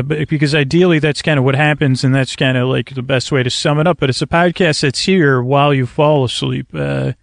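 A man hosting a bedtime podcast in a hesitant, meandering, rambling monologue with stammered repetitions and a creaky voice. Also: fairly high pitch, dry recording, studio quality. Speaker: podcast host.

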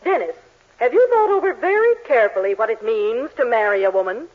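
A woman's voice: on the high side, sing-song, fairly quick. An actress playing a bossy, disapproving middle-aged mother in a 1940s radio sitcom, commanding and cutting. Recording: old 1940s radio, tinny narrow band.

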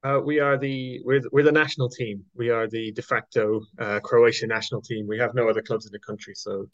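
A man's voice: medium pitch, little pitch movement, a little fast, dry recording. A man speaking as a podcast guest, chatting casually in a hesitant, jokey way, with dull sound. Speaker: podcast guest, Gaelic games coach. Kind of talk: casual interview chat. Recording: dull, muffled audio.

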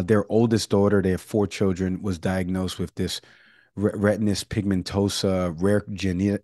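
A man with a lowish voice hosts a talk segment and tells a story loosely, stumbling and restarting as he goes. The sound is dry and close to the mic. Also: darkish tone, no room sound. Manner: rambling, with fillers and restarts. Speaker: show host. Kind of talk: casual news talk segment.

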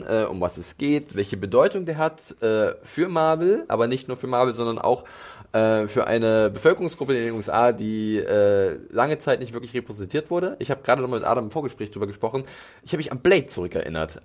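A man's voice: medium pitch, darkish tone. A man hosting a casual film podcast, speaking in a hesitant way with many fillers and restarts. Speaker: podcast host.

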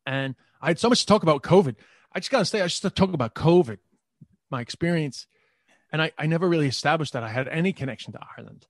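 A man's voice: a little high, animated, fast talker, no room sound. New York–accented man, dry close sound. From New York, Queens. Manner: rambling, repeats words and restarts. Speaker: comedian guest. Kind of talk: casual interview chat.

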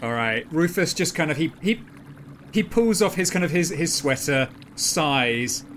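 A man's voice: on the high side, animated, unhurried, fairly bright tone, slight background noise. A man chatting casually as a tabletop game player, hesitant and repeating words.

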